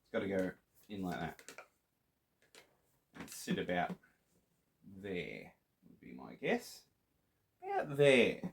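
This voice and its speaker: Low-voiced Australian man in a workshop. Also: huge pitch swings, slow pace, gravelly, pauses often. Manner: playful, chatty, talks to viewers while working. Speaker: prop maker. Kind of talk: casual workshop tutorial.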